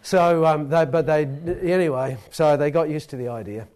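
A man's voice: fairly high pitch, normal speed, darkish tone. A middle-aged man with a New Zealand accent telling a rambling, self-deprecating personal story to a live audience.

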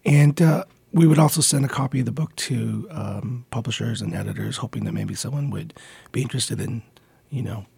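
A man's voice: mid-range voice, a little fast, gravelly, a little mellow, slightly rough, no echo. A man around forty, born in the Philippines and raised in Montreal, talking as a guest in a radio studio interview, friendly and hesitant with many ums. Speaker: guest, comic book writer.

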